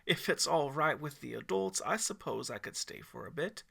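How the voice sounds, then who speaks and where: A man acts out a folksy old mountain man from a campfire storybook, hearty and a little formal. The voice is raised and forceful, with a gravelly edge. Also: a little high, animated, normal speed, full character voice. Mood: agreeable.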